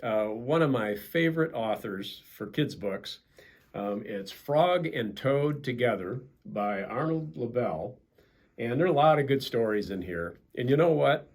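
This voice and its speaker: An older American man reads to children in a husky voice. His pitch moves in lively swings, and he takes his time like a storytime pastor. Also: unhurried. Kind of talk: children's storybook narration with casual intro.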